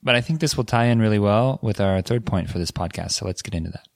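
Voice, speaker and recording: A man co-hosting a podcast, telling stories in a relaxed, casual way with frequent fillers. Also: lowish voice, fast, vocal fry, no echo, studio quality. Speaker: podcast co-host.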